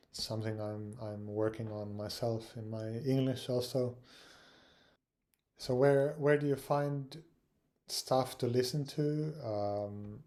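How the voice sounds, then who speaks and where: A man from Finland giving casual advice on language learning, speaking English with frequent pauses, fillers and repeated words. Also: mid-range voice, dark tone. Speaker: language learning channel host.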